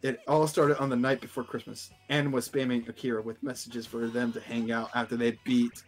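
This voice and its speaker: A man's voice: medium pitch, flat delivery, a little fast, faint music behind. A man streaming a casual, stumbling read-aloud of fan fiction, breaking off to comment. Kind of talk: casual fanfic reading with commentary.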